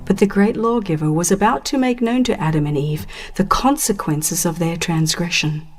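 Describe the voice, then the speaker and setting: A woman reading a dramatized religious audiobook as a narrator, in a solemn, measured and expressive storytelling manner. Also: on the low side, faint music behind.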